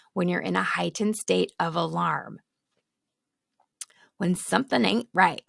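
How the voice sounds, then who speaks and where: A woman hosting a podcast who is losing her voice and sounds hoarse. She talks candidly and laughs between her points as she teaches at home into a close microphone. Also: lowish voice, a bit bright, dry recording. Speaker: podcast host. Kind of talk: casual teaching talk. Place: home with children nearby. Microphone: studio microphone, close.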